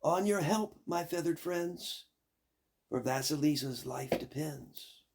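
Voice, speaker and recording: Man voicing a gentle, wise little magic doll. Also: a little high, takes it slowly, noisy background, voice pushed up. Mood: calling, urgent.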